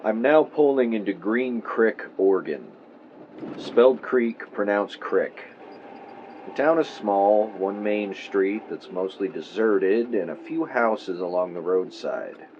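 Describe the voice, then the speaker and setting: A spooky true crime podcast dude, lowish voice, theatrical n wry, taping it in his car w some noise. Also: animated, takes it slowly. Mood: casual, wry. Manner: theatrical, storytelling, wry asides. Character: male podcaster dramatically recording a spooky true-crime investigation. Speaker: podcast host character. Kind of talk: audio drama, true-crime narration recorded on tape. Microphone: mic clipped to shirt. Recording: muffled noisy tape, clip-on mic in car.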